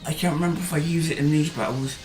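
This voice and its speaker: A casual gaming host talks loosely over gameplay, restarting sentences and filling pauses with "uh" as his voice wears down. The recording rings in a roomy space, with faint music under the speech. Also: mid-range voice, fairly even intonation, a little mellow. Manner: chatty and rambling, with hesitant fillers and restarts. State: voice tiring.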